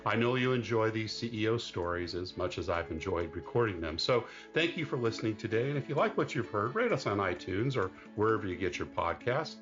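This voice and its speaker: A man's voice: fairly low pitch, animated, a little fast, few pauses, fairly bright tone, dull audio, faint music behind. A middle-aged American man hosting an interview podcast, moving between prepared introductions and conversation. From United States (California). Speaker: podcast host.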